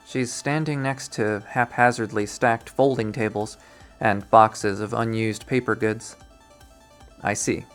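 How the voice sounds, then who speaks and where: A man's voice: lowish voice, a bit bright. A young man reading a visual novel aloud in first person, with a dry, wry delivery.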